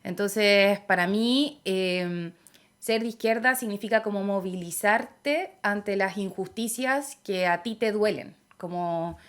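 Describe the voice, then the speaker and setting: A young woman with a Chilean accent speaks as an interview guest. She is thoughtful and measured, often hesitating with soft fillers while she gathers her ideas. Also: medium pitch. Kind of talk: reflective conversational interview.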